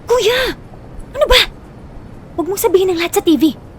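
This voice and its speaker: Woman playing a high-voiced, bossy Filipino little sister. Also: animated, normal speed, a little hiss or hum. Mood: scolding. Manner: blunt, bickering, sassy. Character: bossy, scolding, money-minded younger sister, a student. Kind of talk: acted dialogue in Filipino audio drama.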